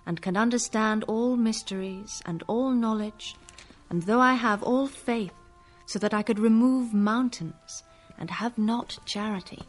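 An actress playing a gentle, reverent and charitable clergyman's wife in a radio drama. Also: fairly high pitch, a little slow, faint music behind, close to an everyday voice. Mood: gentle, devout.

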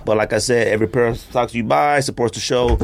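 A man hosting a sneaker podcast, joking around with friends and reading the sponsor spot in a loose, animated way. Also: medium pitch, quick pace, gravelly. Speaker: podcast host. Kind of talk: casual chat.

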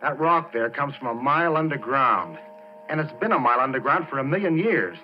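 A man playing an excitable, insistent oil-rig worker in urgent, emphatic 1940s radio drama style. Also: medium pitch, brisk, close to an everyday voice. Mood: insistent, amazed. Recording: dull, noisy 1940s radio recording.

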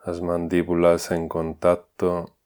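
Slow, very deep-voiced male meditation guide. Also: smooth. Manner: calm, unhurried instructions with long pauses. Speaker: meditation instructor.

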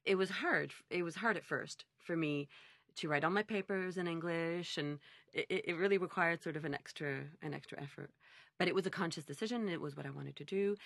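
Middle-aged woman from montreal, low gravelly voice, chatting thoughtfully in an interview. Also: no echo, clean studio sound. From Montreal, Canada. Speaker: conference interpreter being interviewed. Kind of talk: conversational interview answers.

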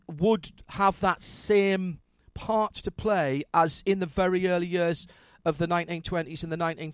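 High-pitched, upbeat male host. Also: no room sound. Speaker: radio show host. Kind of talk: hosting an interview.

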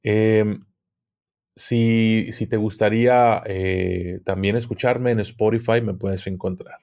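A man's voice: fairly low pitch, fairly even intonation, slow, gravelly, darkish tone. A man, a guest on a podcast, easygoing and hesitant, talking with plenty of fillers. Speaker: guest, occult video creator. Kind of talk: casual podcast interview.

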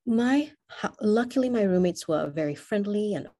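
A young woman from Kenya with a slightly Americanized Kenyan English accent, speaking in a measured, reflective way as a guest in an interview. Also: mid-range voice, expressive, dark tone. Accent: Kenyan English, partly Americanized. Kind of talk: personal interview.

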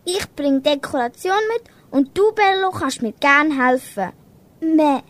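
Girl playing a very high, slow-spoken little animal. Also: animated, no echo. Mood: helpful. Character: young farm animals: sweet kitten and helpful goat. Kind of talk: children's amateur radio play.

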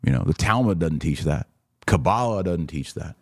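A man's voice: low-pitched, lively intonation, normal speed, a little mellow, no echo, studio quality. An American man in his forties hosting a podcast, chatting casually and speaking straight to his listeners as he answers comments. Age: 40s. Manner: conversational, addresses listeners directly. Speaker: podcast host.

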